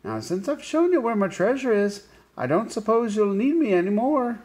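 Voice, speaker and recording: A man's voice: very animated, moderate pace. A man voicing a sly, tiny old male leprechaun shoemaker who speaks sharply and whines, in a storybook reading. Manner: sharp, wheedling, high-pitched.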